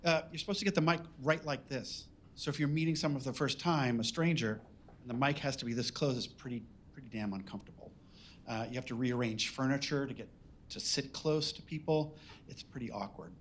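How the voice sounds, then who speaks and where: A man's voice: mid-range voice, a little fast, slight background noise. New England man, workshop teacher hosting a listening party, dry jokes, mid voice, faint ambience. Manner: wry, joking teacher tone. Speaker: workshop instructor, event host. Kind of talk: live event hosting, casual. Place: listening party in an event space.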